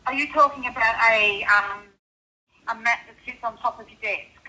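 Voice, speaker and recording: A woman's voice: fairly high pitch, moderate pace, bright, forceful tone. A woman with an Australian accent working as store staff, patiently explaining things on a customer service call. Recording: noisy phone call over speakerphone.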